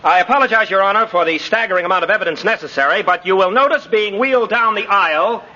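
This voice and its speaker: A man's voice: sits high, fast, sharp tone. An actor playing an earnest, romantic male lawyer in a theatrical, emphatic 1950s radio style. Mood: emphatic, triumphant. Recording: muffled, hissy old radio broadcast.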